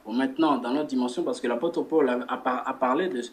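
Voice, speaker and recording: A man, a guest who speaks in a measured way and leads a spoken prayer. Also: mid-range voice. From Democratic Republic of the Congo. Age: 20s. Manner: measured, repeats words while searching for phrasing. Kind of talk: religious interview and spoken prayer.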